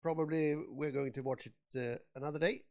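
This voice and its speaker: Swedish male co-host, gravelly voice, hesitant and halting. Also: fairly high pitch, steady tone, darkish tone. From Sweden. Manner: hesitant, many fillers and pauses. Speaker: podcast co-host. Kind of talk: casual chat.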